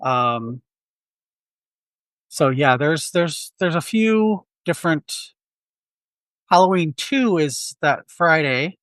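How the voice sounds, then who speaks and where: A man's voice: fairly high pitch, animated, takes it slowly, pauses often, fairly bright tone, no room sound. A man co-hosting a film podcast, chatting candidly in a rambling way with many restarts. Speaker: podcast co-host. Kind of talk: casual film chat. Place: Quad City area.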